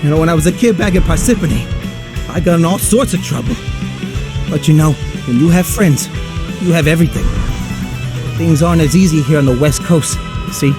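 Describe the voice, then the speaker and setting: A man's voice: fairly high pitch, fairly quick, long pauses, music in the background, close to an everyday voice. An actor playing a scrappy, good-hearted East Coast tough guy, chatting in a breezy streetwise way in a game cutscene. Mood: breezy, sincere. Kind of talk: video game cutscene monologue.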